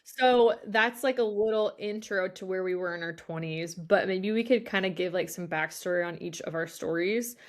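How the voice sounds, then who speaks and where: A woman's voice: lowish voice, normal speed, hardly any pauses. Chatty woman in her twenties hosting. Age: 20s. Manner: chatty, steering the talk with fillers. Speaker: podcast host. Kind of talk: casual podcast hosting.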